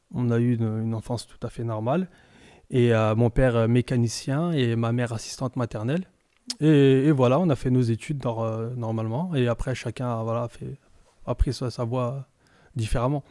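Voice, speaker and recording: A man's voice: medium pitch, steady tone, moderate pace, mellow, no room sound, clean studio sound. A young man from France, talking casually as a podcast guest in an informal, slangy way and often repeating words while he searches for them. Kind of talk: casual interview chat.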